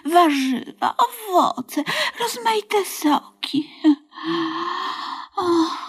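A naive older woman in a comedy radio play, high-voiced and very animated. She fusses and gushes devotedly, hesitating and coaxing as she goes. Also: exaggerated voice. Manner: effusive, giggly, hesitant, coaxing.

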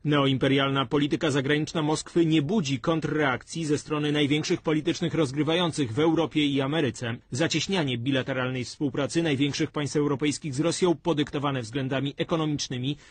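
A man reads an article excerpt as a formal voice-over. His voice is a bit high and husky, recorded very dry. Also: fairly even intonation, a little fast, no echo. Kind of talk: formal read-out of written text.

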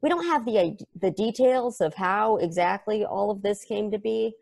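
Woman reading as a nerdy game fan, gravelly edge, rambly and earnest, video call mic. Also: mid-range voice, expressive, moderate pace, a little mellow. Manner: earnest, rambling, self-correcting. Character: eager, nerdy fan explaining a strange game. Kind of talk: table read of a stage play.

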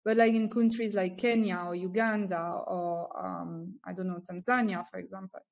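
A woman in her thirties, a guest on a video call from a small room, talking things through thoughtfully with many pauses and ums. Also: lowish voice, steady tone, creaky. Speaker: guest, founder. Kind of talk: casual interview chat. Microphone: laptop webcam on video call.

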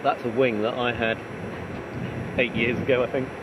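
A man's voice: medium pitch, flat delivery, normal speed. An English man and paraglider pilot, chatting casually with friends on a windy clifftop while explaining things to them. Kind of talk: casual chat. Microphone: camera mic. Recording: heavy wind noise on camera mic.

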